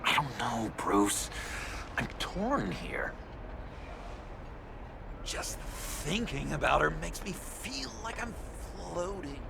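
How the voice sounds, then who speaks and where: Unhinged lovestruck game villain, slow n creaky, breathy rambling w sudden outbursts. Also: male voice, a little high, big pitch swings, noisy background, full character voice. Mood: smitten, torn. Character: lovesick, unstable, eccentric young male criminal. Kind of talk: video game voice acting.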